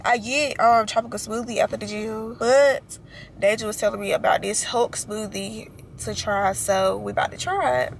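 A woman's voice: mid-range voice, fairly even intonation, normal speed, creaky, slight background noise, poor audio. A young woman vlogging to a handheld camera, talking casually and slangily to her viewers. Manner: chatty, slangy, addresses viewers as y'all. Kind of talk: casual vlog chat to camera. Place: parked car and indoors at home. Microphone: handheld camera at arm's length.

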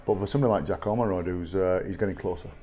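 A middle-aged man with an English accent, a rugby coach answering post-match press questions in a measured, halting way with many fillers. He sits at a table in a small press room. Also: sits low, dark tone. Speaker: rugby league head coach. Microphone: table microphone.

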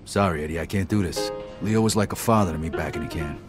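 Male actor as young American mobster, low pitch, tough, weary, loyal, plain-spoken, crime game dialogue, faint music. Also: quick pace, natural voice. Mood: reluctant.

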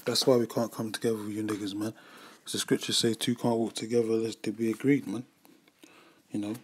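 Man, low flat voice, casual preaching, filler-heavy. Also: brisk, slightly rough. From United Kingdom. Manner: casual, repeats "man" and "you know", frequent restarts. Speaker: religious group member. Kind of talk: informal preaching upload. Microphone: voice-over on screen recording.

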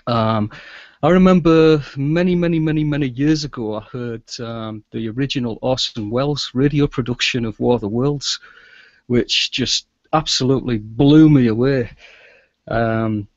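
A man's voice: medium pitch, moderate pace, no room sound, highs cut off. A middle-aged man chatting casually as an interview guest, in a chatty manner, over a video call. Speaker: guest, audio drama producer and sound engineer. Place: small indoor room with shelves, video call.

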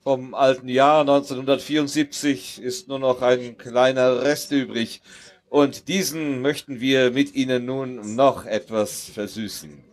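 A man's voice: mid-range voice, steady tone, measured pace, close to an everyday voice. A middle-aged man playing a genial, ceremonious Soviet ambassador in a live radio play, with formal, florid phrasing. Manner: formal, florid, speech-making. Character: genial, ceremonious Soviet ambassador hosting a New Year reception. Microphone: headset on an outdoor festival stage.

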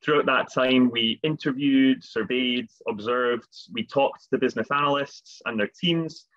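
A man's voice: medium pitch, measured pace, a bit bright. A man in his thirties presenting a business webinar on a video call, often repeating words as he goes. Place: home office, video call. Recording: dull lo-fi video call audio.

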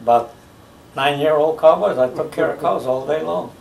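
An elderly man born in the United States, slowly recalling his childhood in a home interview, with halting pauses as he searches for details. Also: on the high side, vocal fry, darkish tone, slight background noise. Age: older. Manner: slow, halting storytelling with many pauses. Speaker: interviewee recounting his life. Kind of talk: family oral history interview. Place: living room, seated in a recliner. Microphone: camera mic from across the room. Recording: roomy home video from a distance.

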